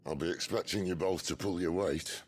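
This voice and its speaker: A man voicing a worldly, wry middle-aged rebel leader in a video game, gravelly and philosophical, with roguish warmth. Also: low voice, a little fast, a little hiss or hum, close to an everyday voice. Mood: measured. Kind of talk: dramatic video game acting. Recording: music under the voice.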